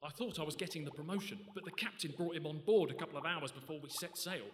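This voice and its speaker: An actor playing a corrupt ship's officer, wary and threatening, in a radio play. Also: male voice, on the high side, fast, slight background noise, close to an everyday voice. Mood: grumbling. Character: corrupt, menacing male ship's officer in smuggling. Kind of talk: radio play acting before a live audience. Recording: echoey hall, live stage recording.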